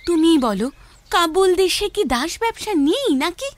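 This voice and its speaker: A protective, suspicious wife in a radio play. Her high voice swings up and down as she nags and presses insistently with anxious questions. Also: sing-song, unhurried, no echo, full character voice. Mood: worried, insistent. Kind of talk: audio story acting.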